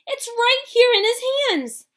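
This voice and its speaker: A woman voicing a fat male shopkeeper in a storybook reading, who goes from pleasant to wildly excited and yelling. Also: medium pitch, normal speed. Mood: frantic. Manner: shrieking, yelling when excited.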